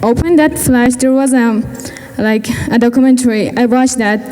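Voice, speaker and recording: A woman's voice: fairly high pitch, normal speed, dark tone, in a large hall, poor audio. A teenage girl from Afghanistan, giving a personal speech at a gala and often searching for words in English. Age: teens. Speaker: student speaker. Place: large event hall.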